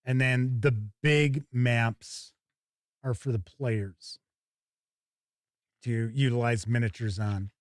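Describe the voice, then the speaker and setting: A man hosting a tabletop game show, giving casual, halting live unboxing commentary and thinking aloud. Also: mid-range voice, steady tone, dry recording, studio quality.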